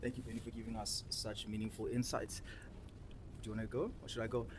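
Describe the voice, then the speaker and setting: A man's voice: lowish voice, flat delivery, fast, noisy background. A young man with a South African accent hosting a casual discussion, telling personal stories with emphatic repetition. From Cape Town, South Africa. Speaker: discussion host and participant.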